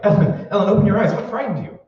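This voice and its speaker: An actor playing an excitable young man in an old-time radio thriller, stammering and urgent. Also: medium pitch, fast, lightly acted. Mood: panicked. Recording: echoey hall, distant mic, muffled.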